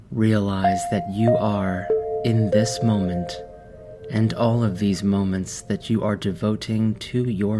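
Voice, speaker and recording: A man hosting a guided meditation, speaking in calm, measured short phrases with pauses between instructions. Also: on the low side. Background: music playing behind the voice.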